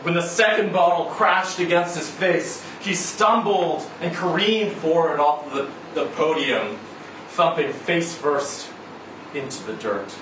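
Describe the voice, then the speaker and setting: A man giving a dramatic live reading of a short story, with measured, deliberate phrasing and pauses. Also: fairly high pitch, fairly bright tone. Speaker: story reader at a live literary event. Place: indoor event venue before a live audience. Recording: echoey room, distant mic, noisy background.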